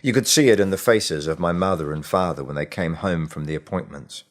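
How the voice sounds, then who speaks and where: A man reading a short story as scripted audiobook narration, in a measured, expressive way with deliberate pauses. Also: sits low.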